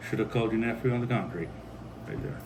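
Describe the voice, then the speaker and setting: A man's voice: fairly low pitch, quick pace. An American man hosting a homestead vlog, chatting casually and reading out viewer comments. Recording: steady background noise.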